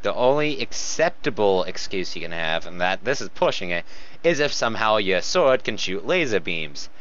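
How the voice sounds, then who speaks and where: Dude cutting in with nerdy nitpicks and jokes, animated up and down voice, kinda muffled mic. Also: male voice, lowish voice, normal speed. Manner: nitpicky, interjecting. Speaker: co-host commentator. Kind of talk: casual joking commentary. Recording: dull, lo-fi voice recording.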